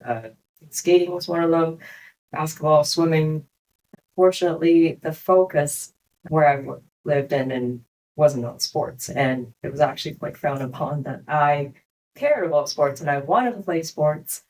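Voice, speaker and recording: Young woman from hong kong on a podcast, slow thoughtful talk, little laughs. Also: low voice, a little mellow, rough recording. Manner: reflective, unhurried, laughs often. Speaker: clinic co-founder, guest. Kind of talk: podcast interview.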